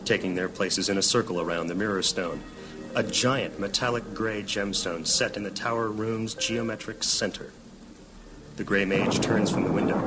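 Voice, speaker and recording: A man narrating a high fantasy audio drama in a solemn, dramatic storytelling manner. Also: noisy background. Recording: loud music and rain behind. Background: music, rain.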